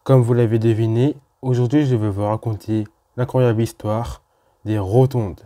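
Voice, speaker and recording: A man's voice: mid-range voice, steady tone, normal speed, warm tone. A man from France narrating a railway history video in a casual explanatory way, with informal asides to the viewer. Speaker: host of a railway history channel.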